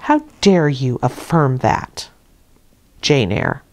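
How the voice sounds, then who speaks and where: A woman's voice: a bass voice, very animated, slow pace, darkish tone, voice pushed down, exaggerated voice. A woman reader plays a cold, commanding aunt from a classic storybook. The delivery is clipped and icy, with irritation held tightly in check. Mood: stern outrage. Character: cold, stern aunt in her thirties, commanding.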